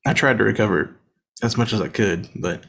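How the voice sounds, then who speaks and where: Casting note: man, thirties, sports podcast co-host, slightly low voice, under the weather, easygoing chat full of "uh"s. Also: a little fast, gravelly. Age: 30s. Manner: relaxed, frequent "uh" and "um" fillers. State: under the weather, voice deeper than usual.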